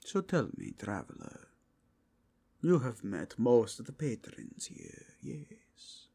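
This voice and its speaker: Werewolf inquisitor male performer: slow, gravelly half-whisper, mixed Spanish-tinged foreign accent, formal, zealous, intense ASMR roleplay delivery. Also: medium pitch, sing-song, dark tone, exaggerated voice. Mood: courteous, probing. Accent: mixed foreign with Spanish touches. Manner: formal, courteous, deliberate, intense. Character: zealous, courtly werewolf inquisitor who sniffs out evil.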